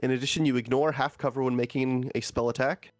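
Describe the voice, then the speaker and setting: A man's voice: mid-range voice, steady tone, fast, no echo, soft background music. A man who is sick with a groggy voice, reading item text aloud as a player in a tabletop game, with casual asides.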